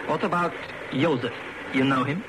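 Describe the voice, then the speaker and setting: A man's voice: a little high, normal speed, lightly acted. Man as a wry British spy, heavy noise. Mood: suspicious. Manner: dry, teasing. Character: wry, worldly male secret agent companion, teasing and shrewd. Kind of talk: radio drama acting. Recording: very noisy old radio drama recording.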